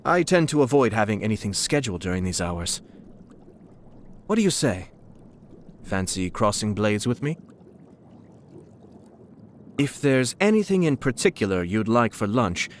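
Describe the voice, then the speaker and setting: An actor playing a suave young nobleman and scheming clan head in a video game, speaking in a polite, measured, formal and slyly amused manner. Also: medium pitch, very animated, a little hiss or hum. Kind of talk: scripted video game character lines.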